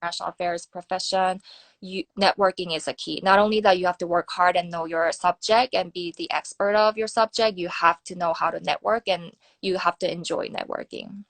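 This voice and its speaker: A woman's voice: on the low side, fairly even intonation, a little fast, creaky, fairly bright tone, dry recording. A woman with a Korean accent, a native Korean speaker, answering interview questions as a guest on a video call, thoughtful with many ums and uhs. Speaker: guest, nuclear security fellow.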